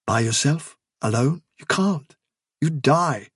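A man's voice: a little high, lively intonation, takes it slowly, slightly rough, no room sound, studio quality, heavily acted. A man voicing an earnest, protective male rabbit leader in a storybook, speaking in an urgent, conciliatory way. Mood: worried. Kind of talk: storybook character dialogue.

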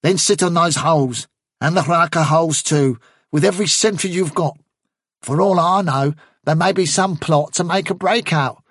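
A man's voice: a little high, normal speed, a bit bright, husky, no room sound, studio quality, voice pushed up. A man voicing a bossy male rabbit captain in an audiobook, giving clipped military orders. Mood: brisk, commanding. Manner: clipped officer's commands.

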